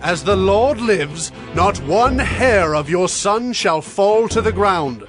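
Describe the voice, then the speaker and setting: A man's voice: high voice, expressive, slow pace, over music. A man playing a protective, decisive king with a regal, commanding manner in a dramatized audio Bible. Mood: regal assurance. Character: decisive, protective king granting a widow's plea.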